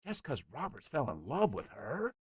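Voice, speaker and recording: A man's voice: medium pitch, wildly swooping pitch, moderate pace, slightly rough, no echo, voice pushed up, exaggerated voice. A man voicing a stubborn, boastful small boy who chatters eagerly. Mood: indignant whisper.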